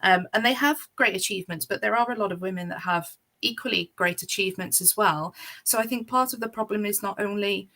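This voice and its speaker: A woman co-hosting a casual discussion, affirming her guest and then building on points with measured remarks. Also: medium pitch, sharp tone. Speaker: co-host.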